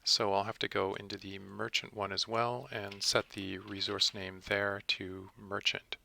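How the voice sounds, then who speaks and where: Bright, gravelly man narrating a software tutorial. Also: on the low side, flat delivery, measured pace. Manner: methodical, explanatory. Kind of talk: step-by-step screen tutorial narration.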